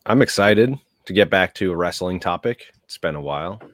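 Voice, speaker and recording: A man co-hosting a casual podcast, chiming in with wry, deadpan quips. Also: deep voice, a little fast, dry recording, clean studio sound. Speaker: podcast co-host.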